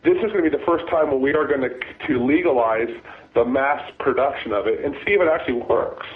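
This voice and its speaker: A man, a former deputy sheriff, talking as a guest with a raspy voice from a cold, halting and restarting his sentences. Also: mid-range voice. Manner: halting, many restarts and repeated words. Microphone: phone. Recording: phone line.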